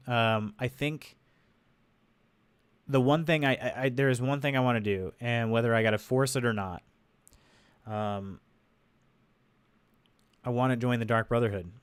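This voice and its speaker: A man with a stuffy, congested voice talks casually into a desk microphone during a game stream. His pitch sits in an ordinary middle range. The sound is close and dry, with no room around it. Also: clean tone, no room sound. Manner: rambling, loose, many fillers and restarts. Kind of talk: casual livestream chat.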